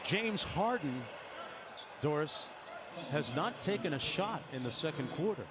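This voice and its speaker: A man's voice: on the high side, expressive, a little slow. A man calling a basketball game as a broadcast play-by-play announcer. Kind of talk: broadcast sports commentary. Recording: very noisy replayed arena broadcast audio.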